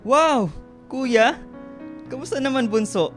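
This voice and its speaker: An actor playing a teasing, doting young Filipino boyfriend in a radio romance drama. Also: male voice, extremely high pitch, slow pace, soft background music. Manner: sweet-talking, teasing.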